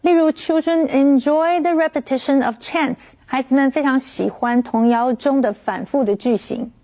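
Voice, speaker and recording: High, mellow woman teaching vocabulary. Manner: clear, measured, instructive. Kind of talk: scripted vocabulary explanation.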